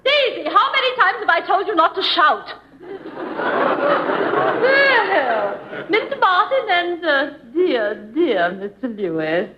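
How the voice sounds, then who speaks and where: A woman's voice: very high voice, huge pitch swings, slow, a loud crowd, exaggerated voice. A woman playing a middle-aged, snobbish, fluttery society matron, haughty and affected, in 1950s radio comedy. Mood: scolding, flustered. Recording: noisy, muffled old radio broadcast.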